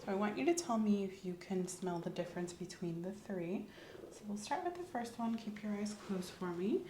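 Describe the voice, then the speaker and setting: A woman as a soft-spoken doctor in a roomy space. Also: mid-range voice, animated, normal speed, noisy background, close to an everyday voice. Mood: calm, attentive. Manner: gentle, methodical, clinical explanations. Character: calm, professional female doctor doing a patient exam. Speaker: ASMR host playing a doctor. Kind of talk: ASMR medical roleplay, soft-spoken.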